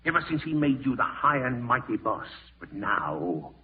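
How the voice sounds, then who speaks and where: A man's voice: mid-range voice, sing-song, moderate pace, slight background noise, close to an everyday voice. An actor in a 1940s radio horror play as a cruel, scheming man, melodramatic and intense, on a tinny old recording. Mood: menacing. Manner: menacing, melodramatic, muttering to himself. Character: cruel scheming man gloating, then frantic with panic.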